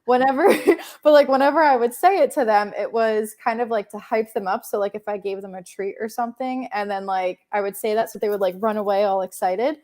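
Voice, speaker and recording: A young woman chatting on a video call livestream, loose and rambling, full of fillers and easy laughter. Her voice sits at an ordinary middle pitch. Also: a little fast, few pauses, a little mellow. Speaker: livestream host. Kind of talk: casual chat.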